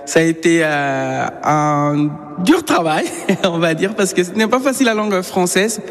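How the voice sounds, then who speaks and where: A man with a light, high voice talks cheerfully and laughs easily as he teaches dance. His words ring through a reverberant hall. Loud dance music plays behind him. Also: unhurried. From Venezuela. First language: Spanish. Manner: cheerful, laughs often, counts beats out loud. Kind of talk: casual interview and dance lesson. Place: dance studio with parquet floor. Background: dance music playing.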